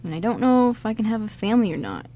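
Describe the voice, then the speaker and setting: A woman's voice: mid-range voice, sing-song, fast talker, muffled recording, natural voice. A woman playing a guarded, self-doubting male con artist in an amateur radio drama, speaking casually and a little hesitantly. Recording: background noise in amateur radio recording.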